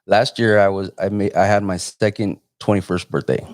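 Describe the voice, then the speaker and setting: A low-voiced man in his forties hosts a live interview and talks in an easygoing, rambling way. He comes from San Jose, California. His voice is dry and close, with no room sound. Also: level tone, normal speed, a little mellow, no echo. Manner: laid-back, meandering. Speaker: host.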